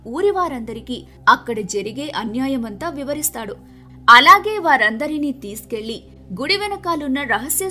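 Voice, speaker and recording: A woman's voice: sits high, pushed and bright. A woman narrating a scripted moral story as the storyteller.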